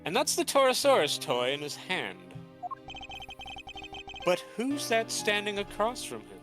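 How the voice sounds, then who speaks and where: A man voicing a composed male prosecutor in a fan dub of a game, building dramatic reveals in a measured way. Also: high-pitched, wildly swooping pitch, pitched above the performer's own voice, heavily acted. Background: game music.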